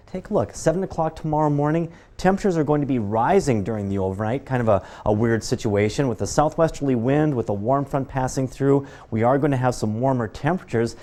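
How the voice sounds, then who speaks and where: An American man works as a television weather forecaster. His voice sits at a medium pitch. He explains conditions informally, in a conversational live-broadcast delivery. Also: mellow.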